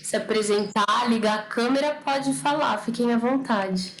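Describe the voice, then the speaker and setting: A woman's voice: a little high, moderate pace. A woman speech therapist hosting an informal online study group, welcoming people in a chatty way and often asking "né?". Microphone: computer or phone on a video call. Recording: roomy echo, faint noise.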